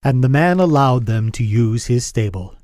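A man's voice: medium pitch, lively intonation, normal speed, darkish tone, dry recording. Dry-sounding male radio storyteller. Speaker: narrator. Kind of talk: dramatic scripted storytelling in old radio style.

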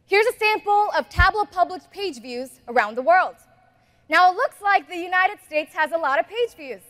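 A young woman from Ethiopia, whose first language is Amharic, presents on a large conference stage in a very high voice. Her delivery is lively and upbeat as she walks the crowd through a live product demo. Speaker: software engineer presenting.

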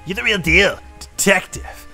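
Young man, casual game co-host, bright and animated, light music behind. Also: high voice, moderate pace. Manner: laughs a lot, reads game lines aloud. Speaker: gameplay co-host. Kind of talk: casual gaming chat.

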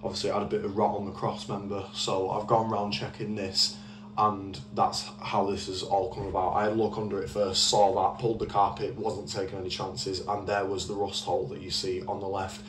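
A man's voice: lowish voice, level tone, fairly quick. A man with a British accent giving casual, informal and explanatory commentary as a car restoration vlogger. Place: in a car, out on a street, and at a computer. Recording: noisy handheld recording, vehicle ambience.

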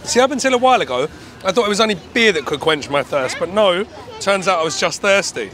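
A man's voice: high-pitched, lively intonation, fast talker, fairly bright tone, some chatter behind. Chatty man at a night market. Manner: chatty, enthusiastic, addresses viewers as guys. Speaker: food vlogger. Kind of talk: casual street food vlog. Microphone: handheld camera at arm's length. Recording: faint outdoor market noise.

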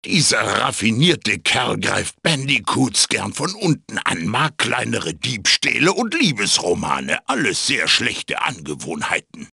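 A male actor voicing a wise, quirky wooden mask spirit in a video game, raspy, theatrical and wry as he guides the listener. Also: on the low side, big pitch swings, a little slow, hardly any pauses, pushed and bright, dry recording, clean studio sound, heavily acted. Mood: wry, joking.

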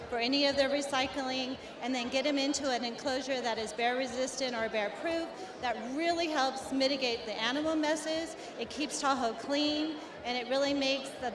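A middle-aged woman representing a company, explaining her work earnestly into a handheld mic at an indoor expo. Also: high voice. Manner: earnest, explanatory, many restarts. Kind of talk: expo interview. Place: indoor expo hall before a backdrop. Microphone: handheld mic held by the interviewer. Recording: echoey hall with crowd noise.

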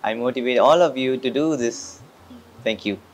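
A man's voice: medium pitch, moderate pace. A young man from Saudi Arabia giving a casual testimonial as a competition participant. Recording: clear background noise and ambience.

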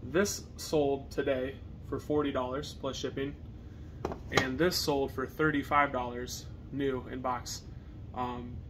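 A young man with an American accent chatting casually to camera as a reseller, with halting phrasing. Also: medium pitch, level tone. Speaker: reseller co-hosting a video channel. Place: partially finished basement, indoors. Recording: noisy basement room recording.

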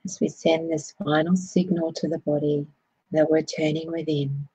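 Very husky, low-voiced woman guiding meditation. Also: level tone, no room sound, rough recording. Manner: soothing, gentle, unhurried phrasing. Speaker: meditation guide. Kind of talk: guided body scan meditation.

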